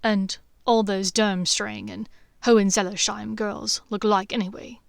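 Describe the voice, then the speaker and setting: A woman voicing a playful, affectionate young man who teases in a low, intimate tone. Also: steady tone, a little slow, creaky, no room sound, clean studio sound. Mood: teasing. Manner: teasing, low and intimate, sometimes half-whispered.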